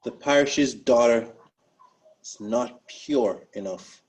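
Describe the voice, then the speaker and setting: A male actor plays a grave, protective man in a web drama. He speaks slowly at a middling pitch, dogged and serious as he pushes for answers. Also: a little mellow, lightly acted. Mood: suspicious, probing. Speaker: actor in a web series table read. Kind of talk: scripted drama read aloud.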